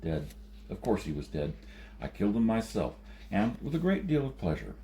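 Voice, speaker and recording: A man's voice: on the low side, very animated, moderate pace, warm tone, reverberant, slight background noise. A man voicing a grave, blunt, determined outlander leader with an intent delivery in a science fiction audiobook. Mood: grim, blunt.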